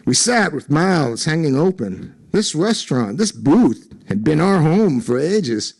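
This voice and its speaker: A man giving an expressive dramatic reading of literary fiction, acting out the dialogue with emphasis. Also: on the high side, measured pace. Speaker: story reader at an awards ceremony.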